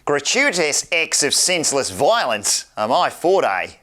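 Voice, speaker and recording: Male quiz host, higher voice, very animated delivery, theatrical and playful. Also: unhurried. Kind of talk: game show hosting, reading out quotes.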